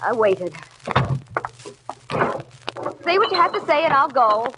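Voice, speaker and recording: A guarded young woman in a 1940s radio mystery. She speaks in a high voice with clipped, dramatic phrasing. The vintage recording is very noisy. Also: sing-song, slow, full character voice. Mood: hurt, scornful.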